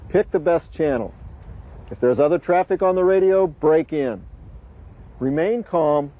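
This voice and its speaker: A man narrates a safety training video in a deliberate, instructive way. His voice sits a little high but has a very soft, dark tone. The recording is somewhat dull.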